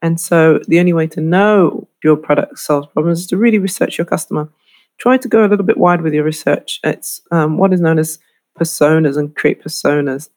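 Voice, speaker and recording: British woman lecturer explaining clearly. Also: sits low, a little fast, a little mellow. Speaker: fashion marketing lecturer. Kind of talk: instructional talk to camera.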